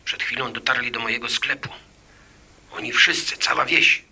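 Strident, gravelly, agitated informant. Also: male voice, medium pitch, fairly even intonation, normal speed, bright, forceful tone, heavily acted. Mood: agitated, urgent. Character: agitated merchant's informant reporting in urgent tones. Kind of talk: Polish radio drama acting.

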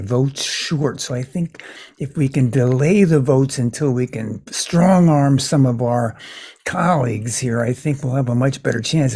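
Improv comedy senator, male performer: slippery schemer, hesitant stammer, soft-edged husky tone. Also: medium pitch, full character voice. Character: scheming, evasive male senator pushing an absurd bill.

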